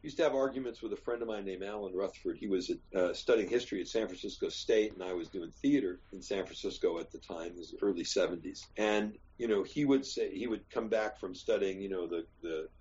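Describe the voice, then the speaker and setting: An older American man, an actor, talking candidly and at length, emphatic, digressive and quick to swear. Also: sits low, a little mellow, dull audio. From United States. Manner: rambling, emphatic, swears freely. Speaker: actor and guest. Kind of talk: candid interview chat.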